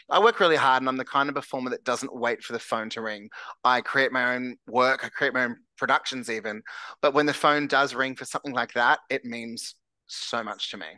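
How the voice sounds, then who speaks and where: A man's voice: medium pitch, bright, forceful tone, no room sound. An Australian man, a singer-songwriter, chatting earnestly and candidly as the guest in an interview. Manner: earnest, candid, self-described larrikin. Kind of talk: casual interview chat.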